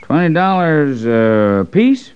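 A man's voice: fairly high pitch, very animated, slow, clean tone. A man playing a sly, grasping frontier settler who talks in a hesitant, wheedling rustic way in an old radio western. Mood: grudging, haggling. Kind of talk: 1940s-50s radio western acting. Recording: dull old radio recording.

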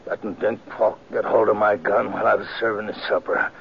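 An actor playing a wounded, ageing western sheriff, weary, remorseful and halting, in an old radio drama. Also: male voice, lowish voice, little pitch movement, vocal fry. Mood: weak, remorseful. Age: older. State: weak, halting from injury. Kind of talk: 1940s-50s radio western acting. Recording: dull old radio recording, faint noise.

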